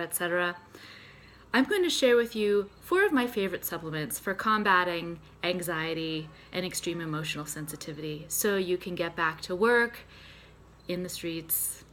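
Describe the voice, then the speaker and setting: A woman's voice: lowish voice, fairly bright tone, a little hiss or hum. A woman with a Boston accent, a psychotherapist and nutritionist explaining things step by step in a friendly, conversational talk. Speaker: psychotherapist and mental health nutritionist. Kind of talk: casual educational video talk.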